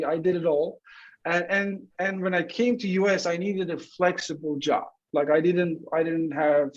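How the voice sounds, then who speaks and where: A man from Turkey in his forties talks through his answers in a relaxed, rambling way, dropping "like" into nearly every phrase. His voice sits a little high for a man. The sound is dull and lo-fi, as over a remote call. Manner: rambling, many "like" fillers. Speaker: guest, entrepreneur. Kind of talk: casual interview answers.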